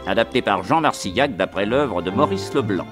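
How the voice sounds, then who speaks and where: Male title announcer, lively intonation, formal and declamatory vintage radio style, faint violin music behind. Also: on the low side, moderate pace.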